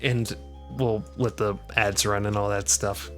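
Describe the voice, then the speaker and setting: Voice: sits low, fairly even intonation, a little slow. A streamer hosting a casual live chat in a playful, theatrical way. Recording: music playing behind. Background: music.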